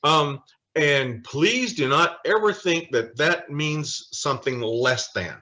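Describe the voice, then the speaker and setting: A man teaches a Bible study in a bright, forceful voice with very lively pitch. He lectures informally from notes, leaning hard on key phrases and slipping in wry asides. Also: takes it slowly. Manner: emphatic, animated, with wry asides. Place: online video call study session.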